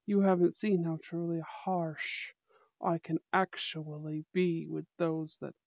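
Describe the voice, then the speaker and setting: A possessive teenage bad boy, acted by a woman, speaking slowly in an ASMR romance roleplay. He is commanding, teasing and intimate, on a dry, slightly dull recording. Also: deep voice, steady tone, gravelly, no echo, voice pushed down, exaggerated voice.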